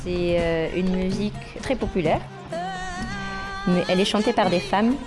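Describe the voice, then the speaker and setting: A woman from Morocco, a singer and rapper, speaking earnestly in a documentary interview, pausing often with fillers. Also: fairly low pitch, over loud music.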